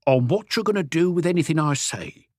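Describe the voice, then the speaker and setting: A man's voice: on the high side, expressive, brisk, no room sound, studio quality, pitched above the performer's own voice, lightly acted. A man voicing a wry, evasive old house painter with colloquial speech, in an audiobook story. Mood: cagey, wry. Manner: colloquial, evasive, answers questions with questions. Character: cagey old Parisian workman, stocky house painter, wry.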